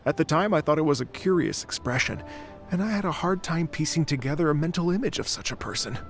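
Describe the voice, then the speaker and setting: Eloquent, gracious game gentleman, lighter high-set voice, faint music behind. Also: expressive, gravelly. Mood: gracious, admiring. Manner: polite, eloquent, measured phrasing. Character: Mister Dvorak, courteous, eloquent foreign gentleman, warmly admiring. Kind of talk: video game voice acting.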